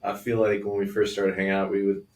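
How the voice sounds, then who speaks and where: A band member talks in a relaxed, halting way with plenty of fillers. His voice is very deep and stays level. He sits back from the mic, and the room adds a lot of echo. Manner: relaxed, hesitant, many fillers. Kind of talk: casual podcast chat. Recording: roomy echo, distant mic.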